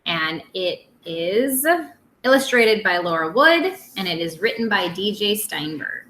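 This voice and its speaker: A woman teacher reading a picture book aloud to young children in an expressive, simple way. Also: medium pitch, a little slow, roomy sound.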